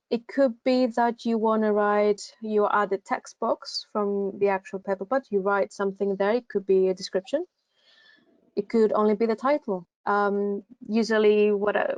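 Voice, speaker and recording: A woman's voice: mid-range voice, a little mellow, no echo, lo-fi sound. A Greek woman with a Greek accent, speaking English as a second language, gives casual advice for a university recruitment session. She hesitates often, with many fillers and restarts. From Greece.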